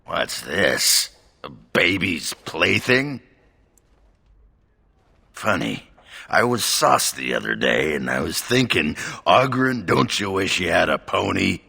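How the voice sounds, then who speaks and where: Gravelly, rumbling drunken dwarf. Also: male voice, big pitch swings, slow, pushed and bright, full character voice. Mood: boozy musing. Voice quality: very gravelly, rasping. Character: gruff, boozy, crude male dwarf warrior. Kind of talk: video game character acting.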